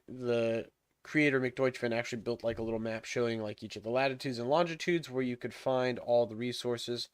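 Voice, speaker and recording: A man's voice: medium pitch, no room sound, studio quality. A young man hosting a game livestream, chatting casually and thinking aloud with lots of fillers, his nose stuffed up and sniffling. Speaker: livestream host. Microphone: desk microphone.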